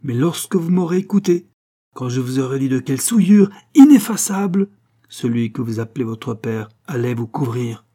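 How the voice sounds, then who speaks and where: A man's voice: mid-range voice, little pitch movement, moderate pace, few pauses, dark tone, lightly acted. A man voicing a proud, troubled young duke in an audiobook, solemn, emphatic and imploring with his brother. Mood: urgent. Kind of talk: audiobook dialogue acting.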